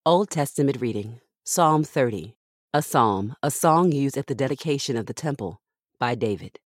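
A woman with a low-pitched voice reads scripture aloud for a devotional podcast. The recording is dry and close, with very little room sound around her voice. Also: expressive, normal speed, no echo. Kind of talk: scripted devotional Bible reading.

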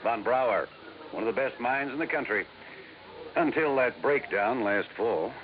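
A man's voice: medium pitch, animated, unhurried, close to an everyday voice. An actor playing an assured, folksy male federal agent and old friend in a 1950s radio drama, casually emphatic. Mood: wry, emphatic. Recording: narrow, noisy 1950s radio recording.

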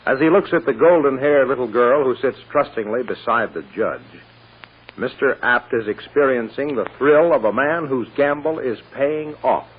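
Male narrator, mid-pitched and husky, suspenseful old radio delivery on a muffled recording. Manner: measured, suspenseful. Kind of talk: scripted dramatic narration, 1940s radio.

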